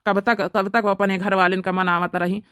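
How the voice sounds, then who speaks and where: A woman's voice: medium pitch, flat delivery, normal speed, a bit bright, clean tone, no room sound, studio quality, close to an everyday voice. A woman with an Awadhi accent voicing an anxious, pleading young widowed father and elder brother in a cartoon story. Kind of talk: amateur cartoon story dialogue.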